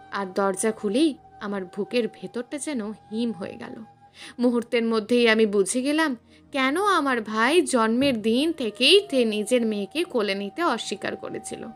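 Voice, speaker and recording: A woman's voice: fairly high pitch, fairly bright tone. A woman narrating a scripted suspense story in a dramatic, emphatic storytelling manner.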